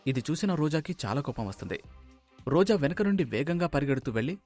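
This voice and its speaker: A man's voice: a little high, sing-song, fast talker, a little mellow, faint music behind. A man reading scripted story narration as a storyteller.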